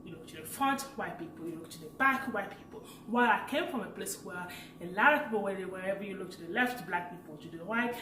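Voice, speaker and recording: A woman's voice: mid-range voice, fast talker, recorded from a distance, slight background noise. Chatty French-accented woman in an echoey room. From Africa. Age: late 20s. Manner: chatty and animated, laughs while telling stories. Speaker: vlogger. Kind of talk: casual personal storytelling.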